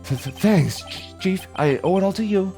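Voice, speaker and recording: Nervous young male lawyer performed by a man, raised pitch, earnest, stammering, quick to exasperation, video game lead. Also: lively intonation, mellow, faint music behind.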